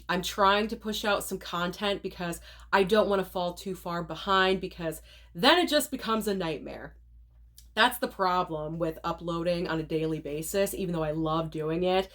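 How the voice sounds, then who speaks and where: Sick woman host, nasal, casual and opinionated, talking straight to viewers. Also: lowish voice. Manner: chatty, opinionated, direct to viewers. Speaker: movie channel host. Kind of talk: casual movie rundown vlog.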